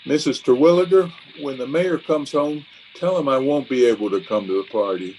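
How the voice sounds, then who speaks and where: Guy doing pompous scheming town official, blustery and hammy, medium pitch, old radio comedy. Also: normal speed, darkish tone, a little hiss or hum, lightly acted, poor audio. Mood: blustering. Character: blustery, pompous, scheming middle-aged water commissioner and uncle.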